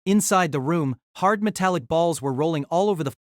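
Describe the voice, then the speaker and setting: A narrator telling a fan audio drama story in an expressive storytelling manner. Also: mid-range voice, a little fast, no room sound, clean studio sound.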